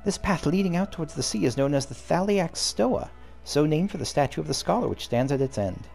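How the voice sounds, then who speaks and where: A man reading aloud the lines of a calm, learned young female scholar guide from a game, in a measured, formal way. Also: fairly high pitch, creaky, soft background music, voice pushed up.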